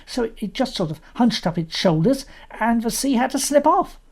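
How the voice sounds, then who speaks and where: A woman's voice: fairly high pitch, animated, normal speed, a little mellow, voice pushed up. A woman voicing a teasing, know-it-all older boy in a storybook reading. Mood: explaining.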